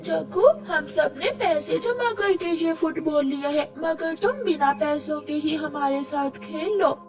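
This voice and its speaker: Woman as a squeaky, pleading little bird child. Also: a little slow, far from the mic, over music.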